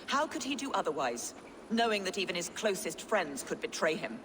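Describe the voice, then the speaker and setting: A woman's voice: lowish voice, big pitch swings, moderate pace. An actress playing a proud, pious, defiant captive medieval queen in a video game, speaking in a haughty, formal way. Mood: proud defiance. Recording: clear background noise.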